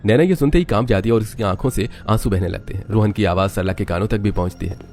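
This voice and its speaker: A man's voice: lowish voice, quick pace, darkish tone, a little hiss or hum. A man narrating a scripted family story as its storyteller.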